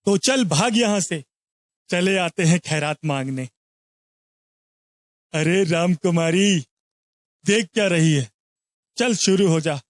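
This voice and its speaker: A man's voice: sits high, slow pace, long pauses, no room sound, studio quality. A man voicing a greedy, heartless, bullying village moneylender in a cartoon moral story, bossy and scornful.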